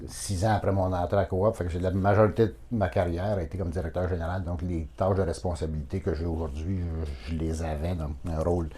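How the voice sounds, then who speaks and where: A man's voice: low voice, little pitch movement, fast, hardly any pauses, mellow, a little hiss or hum. A man in his fifties with a Québécois accent, a town mayor talking casually and plainly as an interview guest. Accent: Québécois French. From Quebec. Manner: plain-spoken, folksy, with frequent fillers.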